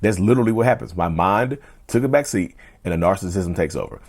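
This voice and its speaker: A man hosting a casual mental health vlog, talking straight to viewers in a loose, repetitive way, his voice going a little hoarse. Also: deep voice, quick pace, a little mellow. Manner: conversational, repeats himself, often addresses viewers as "y'all". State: voice getting hoarse. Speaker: mental health video host. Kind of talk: casual direct-to-camera talk.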